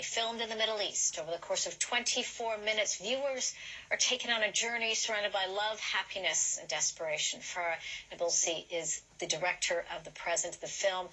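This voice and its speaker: A woman news anchor speaks in a bright, forward voice that sits fairly low. She presents and asks questions in a broadcast interview style. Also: rough recording.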